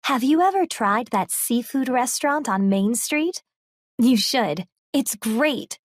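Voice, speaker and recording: A woman reading a scripted listening exercise slowly and carefully. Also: fairly high pitch, lively intonation, dry recording, clean studio sound. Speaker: recorded listening exercise speaker. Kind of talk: scripted read-aloud.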